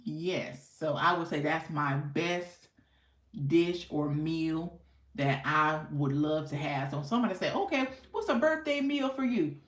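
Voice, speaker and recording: A woman's voice: low-pitched, unhurried. An American woman hosting a casual livestream, chatting with viewers in an effusive, folksy way with repeated thanks and affirmations. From United States. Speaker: livestream host. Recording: echoey, roomy sound.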